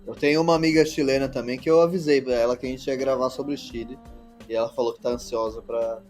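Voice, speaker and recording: A man co-hosting a casual podcast, chiming in with quick, chatty remarks. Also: mid-range voice, steady tone, darkish tone, faint music behind.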